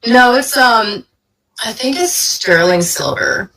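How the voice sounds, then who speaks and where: A woman's voice: fairly high pitch, lively intonation, takes it slowly, bright, forceful tone, rough recording. A young woman from Alabama on a video call, giving short laid-back answers and patiently correcting pronunciation. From Alabama, United States. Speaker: stranger on a video chat. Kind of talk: casual video chat.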